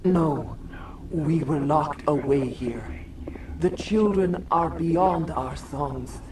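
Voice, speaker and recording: A woman voicing an ancient insect alien queen who speaks through a host in halting, formal, poetic phrases for a video game. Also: low-pitched, far from the mic, noisy background. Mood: grave, pleading.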